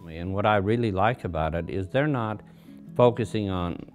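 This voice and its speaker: A man's voice: fairly low pitch, moderate pace, faint music behind. A man talking conversationally in a documentary interview.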